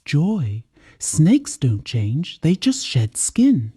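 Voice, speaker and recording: Wise protective village mother, voiced by a woman storyteller, very mellow. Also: low-pitched, very animated, drawn-out words, slightly rough, no room sound, studio quality, heavily acted. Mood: warning. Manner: tender, proverb-laden counsel. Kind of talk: storybook character voice.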